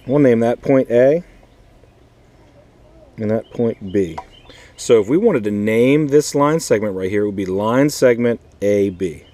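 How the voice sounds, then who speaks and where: A man's voice: mid-range voice, expressive, unhurried, long pauses, warm tone. An American man teaching an informal math lesson as a schoolteacher, repeating key points and asking checking questions. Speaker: fourth grade math teacher.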